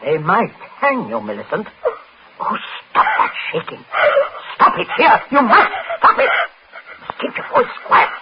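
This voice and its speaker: A man's voice: high-pitched, wildly swooping pitch, a little slow, full character voice. An actor playing a domineering, pompous middle-aged father in 1940s radio style, agitated and scolding. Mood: stern, urgent. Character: blustering, domineering middle-class father fearing scandal. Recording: muffled, noisy 1940s radio recording.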